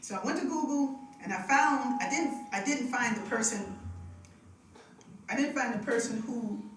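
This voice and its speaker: A woman's voice: a little high, background noise. A woman hosting a live devotional, chatting and telling stories with lots of laughter, using a stage mic in a music room. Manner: chatty, laughing, drawn-out storytelling. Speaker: devotional host and singer. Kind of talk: casual spiritual talk with storytelling. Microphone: stage microphone with monitor feedback. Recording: echoey room from far off.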